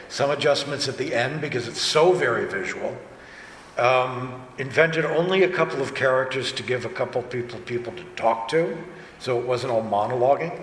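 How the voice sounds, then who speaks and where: A man's voice: medium pitch, a bit bright. Man chatting on panel, echoey hall. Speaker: director and actor speaking on a panel. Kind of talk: convention panel talk, casual. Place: large convention panel room. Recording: echoey hall, distant, noisy room. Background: room ambience and noise.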